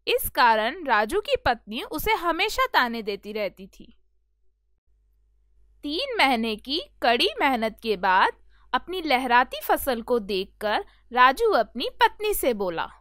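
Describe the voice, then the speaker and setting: A woman's voice: sits high, big pitch swings, slow pace, fairly bright tone, no echo, clean studio sound. A woman narrating a scripted children's moral story as a storyteller.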